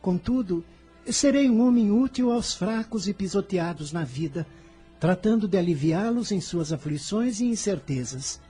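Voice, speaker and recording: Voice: mid-range voice, lively intonation, mellow, slightly rough, soft background music. An actor voicing an earnest, kind fifteen-year-old boy in a radio drama, speaking in a solemn, formal way. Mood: solemn, humble. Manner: solemn, measured, formal diction.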